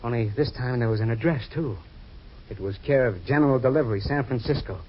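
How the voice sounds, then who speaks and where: An actor playing an anxious retired ex-fighter who stammers and pleads, in 1940s radio acting. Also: male voice, medium pitch, fast talker, a little hiss or hum, lightly acted. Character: anxious retired ex-fighter, plump, haunted by a name. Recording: dull old radio recording.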